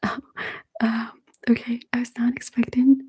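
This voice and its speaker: A woman chatting to live viewers in a breathy ASMR whisper, with a hesitant, nervous manner. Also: fairly high pitch, little pitch movement, normal speed, darkish tone. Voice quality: breathy whisper, mostly unvoiced. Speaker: ASMR streamer hosting a live chat. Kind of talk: whispered ASMR casual livestream chat. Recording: faint background noise with clicks.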